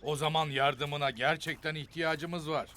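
A man voicing a steady, protective grown warrior in a children's cartoon, commanding and reassuring. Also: on the high side, unhurried. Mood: calm, inquiring. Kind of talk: animated children's adventure cartoon acting.

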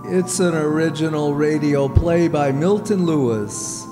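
A middle-aged man playing a genial, mock-spooky horror host in an old-time radio show, teasing and deliberate. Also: a little high, natural voice. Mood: hushed, ominous. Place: on stage in a community hall. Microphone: stand microphone. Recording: echoey hall stage, music behind.

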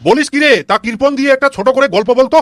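A man voicing a bossy, exasperated male cartoon schoolteacher, with his voice pitched up. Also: rapid-fire, a bit bright, no room sound. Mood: questioning. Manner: scolding, questioning. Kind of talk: comic cartoon dialogue.

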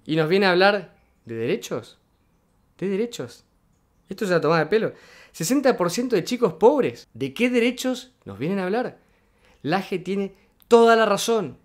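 Indignant high-voiced Argentine man. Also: big pitch swings, normal speed, pauses often. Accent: Argentine Spanish. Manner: indignant, emphatic, repeating points. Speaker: political commentator. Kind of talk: reaction video commentary.

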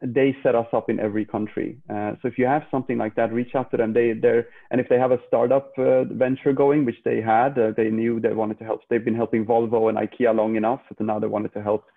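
A man's voice: mid-range voice, little pitch movement, a little fast, vocal fry, few pauses. A man in his thirties from Sweden with a Swedish accent, a startup founder chatting casually on a video call, giving tips and often restarting. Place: room at home with a couch. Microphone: earbuds on a video call. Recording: phone-like video call audio.

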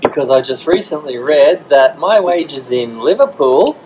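A man's voice: fairly high pitch, expressive, measured pace, darkish tone, a little hiss or hum, rough recording. A middle-aged man from England joking and explaining things at a restaurant dinner, picked up by a phone on the table. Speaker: dinner guest. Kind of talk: casual dinner chat. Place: restaurant, indoors. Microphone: phone camera on the table.

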